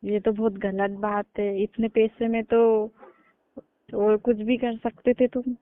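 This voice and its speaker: A woman's voice: medium pitch, little pitch movement, slow pace, close to an everyday voice. A woman playing a nosy elder sister, asking prodding questions in casual colloquial talk over a phone. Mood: knowing. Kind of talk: casual phone chat, staged call. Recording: narrow, tinny phone-line sound.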